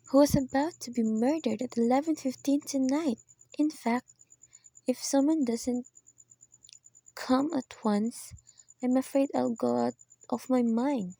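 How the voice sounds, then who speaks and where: A woman's voice: high-pitched, vocal fry, mellow, slightly rough, no echo, exaggerated voice. A woman playing an anxious, demanding invalid wife in a radio play, with an imperious, agitated way of talking.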